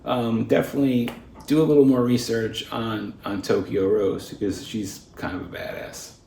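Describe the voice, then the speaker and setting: A man's voice: mid-range voice, fairly even intonation, normal speed, gravelly, dark tone, slight background noise. A man with an American accent presenting history in an informal, explanatory way. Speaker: presenter of a radio history video. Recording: roomy, echoing sound.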